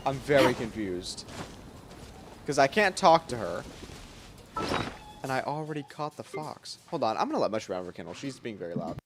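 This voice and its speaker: A man's voice: medium pitch, very animated, moderate pace, long pauses, noisy background. A man chatting casually on a gaming livestream in a playful, self-deprecating way. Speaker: game livestreamer. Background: game music.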